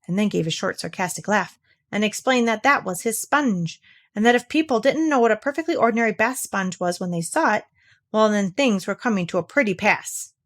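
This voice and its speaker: A woman's voice: medium pitch, expressive, brisk. A woman reading a children's story aloud as scripted narration. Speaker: storybook reader.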